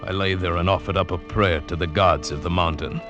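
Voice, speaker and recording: An actor playing an earnest, superstitious South American mountain guide telling his perilous adventure in a measured, dramatic 1940s radio style. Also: deep voice, steady tone, highs cut off, natural voice. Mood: hushed wonder. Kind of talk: 1940s-50s radio drama acting and narration. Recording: vintage radio recording with music.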